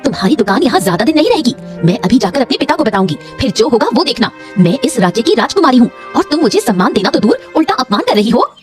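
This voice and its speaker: A woman's voice: on the high side, very animated, fast talker, few pauses, faint music behind. A woman voicing a dramatic, emphatic female character in a moral-story cartoon. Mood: haughty, angry. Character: animated storybook women: princess, wife, sweet seller.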